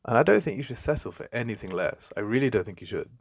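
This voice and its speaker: A man with an English accent playing a jealous, protective best friend in an intimate ASMR roleplay, speaking in a halting, conversational way with emphatic repetition. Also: lively intonation, breathy edge. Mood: tender, earnest. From England. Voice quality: deep.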